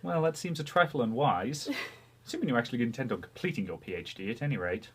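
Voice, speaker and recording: A man's voice: mid-range voice, expressive, quick pace, a bit bright, a little hiss or hum, close to an everyday voice. A man acting a courteous, wry demon fighter in an audio story, speaking in polite understatement. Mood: reassuring.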